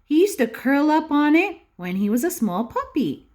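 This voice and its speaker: Animated woman reading aloud to young children. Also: high voice. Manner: expressive, slow and instructive, often checking with rhetorical questions. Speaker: early-years classroom teacher reading a picture book aloud.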